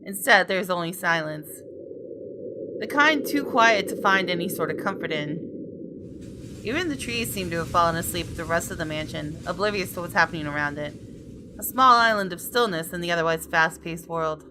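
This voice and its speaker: A woman's voice: fairly low pitch, animated, moderate pace, noisy background. A woman hosting a gaming video, reading a visual novel aloud expressively and adding casual asides.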